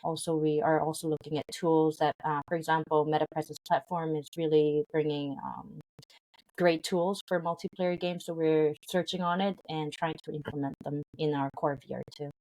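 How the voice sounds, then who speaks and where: Low-voiced woman explaining with restarts. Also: little pitch movement, normal speed, darkish tone, low-quality recording. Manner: earnest, explains with many fillers and restarts. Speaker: founder of a language game company, guest. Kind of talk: interview conversation.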